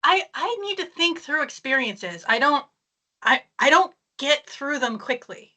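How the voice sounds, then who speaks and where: A woman's voice: high voice, animated, a little slow, bright, forceful tone. A woman answering interview questions casually, with dry, understated humour. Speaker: author being interviewed.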